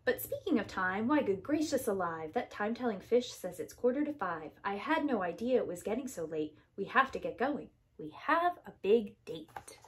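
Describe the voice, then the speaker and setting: A woman's voice: mid-range voice, sing-song, moderate pace, few pauses, a bit bright. A woman preschool teacher reading a rhyming picture book aloud to young children in an emphatic, sing-song rhythm.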